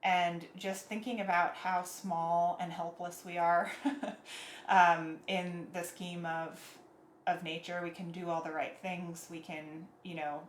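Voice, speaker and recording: A young woman singer-songwriter, soft-spoken, talking reflectively in a casual interview. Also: lowish voice. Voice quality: soft, airy voice. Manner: reflective, thoughtful, with frequent fillers. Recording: echoey room, faint noise.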